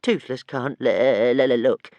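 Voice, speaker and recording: A man's voice: medium pitch, measured pace, darkish tone, no echo, highs cut off, lightly acted. A man voicing a tiny, cowardly male dragon that stammers and shrieks. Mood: moaning. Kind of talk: audiobook character voice.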